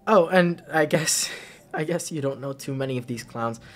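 A young man playing an affectionate, goofy best friend at a party in an intimate audio roleplay, halting and repeating himself in casual slang. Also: fairly high pitch, close to an everyday voice. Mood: affectionate, nervous.

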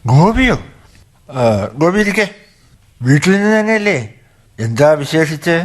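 A man's voice: fairly high pitch, animated, a little slow. A man with a Kerala accent playing a bitter, sardonic middle-aged village father in a radio drama. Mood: guarded, polite. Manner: sardonic, scornful. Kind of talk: Malayalam radio drama acting.

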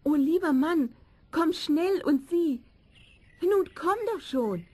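A woman playing an excitable, craving wife in a fairy-tale audio play, effusive and dramatic with a breathy delivery. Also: high-pitched, takes it slowly. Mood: excited.

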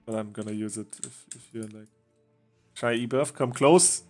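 A man casually chatting with a friend while they play a game together, relaxed and joking, laughing now and then. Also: mid-range voice, moderate pace, faint music behind. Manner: relaxed, joking, chuckles often. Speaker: game streamer. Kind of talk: casual gaming chat with a friend.

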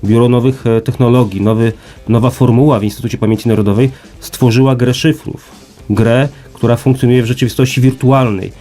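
A man's voice: mid-range voice, steady tone, brisk, dark tone, faint music behind. A man speaking as an institute representative in an interview, explaining formally and emphatically with rhetorical repetition and lists.

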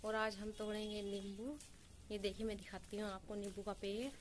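A woman's voice: mid-range voice, monotone, moderate pace. A woman in her twenties chatting casually in a village vlog, showing things to the camera from a phone held at arm's length. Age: 20s. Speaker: vlogger. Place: outdoor village courtyard and rooftop. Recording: clear outdoor background noise.

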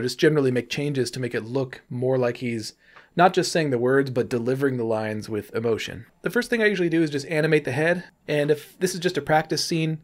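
A man giving informal, explanatory tutorial narration in an easygoing, loose way. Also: mid-range voice. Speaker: tutorial presenter, animator.

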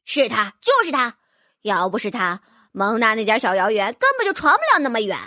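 A small magical beast with a sharp, scornful tongue, as a woman puts it on. It mocks in a lively, swooping voice. The audiobook sound is dry and close. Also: on the high side, brisk, no room sound. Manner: scornful, mocking.

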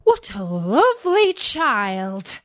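A woman's voice: medium pitch, wildly swooping pitch, drawn-out words, a bit bright, no echo, exaggerated voice. A woman voicing fussy, kindly little male dwarfs in an exclaiming manner for a children's storybook. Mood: amazed. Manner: exclaiming, questioning.

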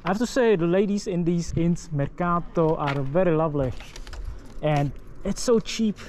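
A man's voice: on the high side, normal speed, a little mellow, slight background noise. A young man vlogging a cycling trip, chatting casually to an action camera on his handlebars and while walking through a supermarket, in plainspoken English. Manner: chatty, plainspoken, non-native English phrasing. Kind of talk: casual travel vlog with voice-over narration. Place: outdoors on a bike path and inside a supermarket. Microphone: action camera on the handlebars and handheld.

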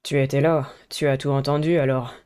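A woman's voice: extremely low pitch, little pitch movement, a little fast, darkish tone, smooth, pitched below the performer's own voice. A woman voicing a stressed, earnest teenage boy, a student delegate in a visual novel game.

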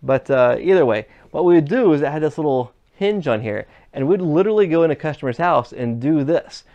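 A man's voice: a little high, expressive, a little fast, a little mellow, highs cut off. A man in his thirties teaching an HVAC class in a workshop, explaining step by step and putting questions to the viewer. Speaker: HVAC instructor. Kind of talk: classroom demonstration lesson. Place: classroom workshop with equipment, indoors. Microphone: fixed camera, standing at mid distance.

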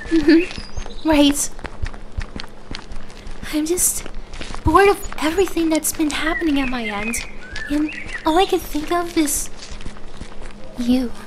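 A woman's voice: high voice, takes it slowly, pauses often, background noise. A woman voicing a shy, flustered young woman in an ASMR roleplay, speaking hesitantly with bashful stammers. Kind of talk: ASMR roleplay audio drama.

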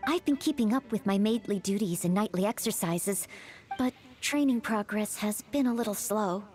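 A woman's voice: medium pitch, animated, normal speed, darkish tone, natural voice. A woman voicing a polite, earnest young maid who wants to be a knight, in courteous, hesitant video game dialogue. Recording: game music behind the voice.

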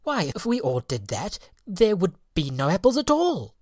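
A woman's voice: fairly low pitch, very animated, moderate pace, dry recording, voice pushed up. A busy young storybook fairy, voiced by a woman, earnest and concerned. The voice is raised well up and lilts about in a dry recording. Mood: shocked. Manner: questioning, earnest. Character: young sister fairies, busy, concerned and helpful.

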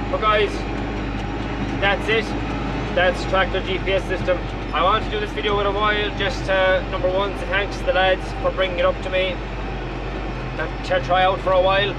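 Young Irish man, farmer vlogger, plain-spoken and rambling, action-cam audio with loud engine behind. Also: high-pitched. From Ireland. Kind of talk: casual chat to camera. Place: inside a tractor cab in a field. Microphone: action camera mounted in the cab. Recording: loud engine noise in cab. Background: loud tractor engine.

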